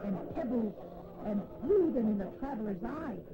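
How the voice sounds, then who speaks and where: A woman narrating a fable for children as a storyteller, in an expressive, deliberate, scripted style. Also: on the high side. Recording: noisy old 16mm educational film soundtrack.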